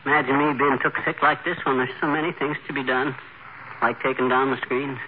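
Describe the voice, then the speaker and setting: An actor playing a boastful, bumbling middle-aged husband in a 1940s radio comedy, blustery and whiny. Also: male voice, medium pitch, little pitch movement, brisk, phone-quality sound, natural voice. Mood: self-pitying. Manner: blustery, whiny when sick. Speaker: lead comic character. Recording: crackly thin old radio broadcast.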